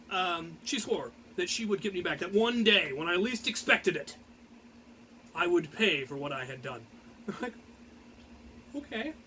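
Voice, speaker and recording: A man with a high-pitched, bright voice spins a personal story. His delivery is animated and theatrical, with a grandiose flair. There is faint noise behind him. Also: poor audio. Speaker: storyteller and host.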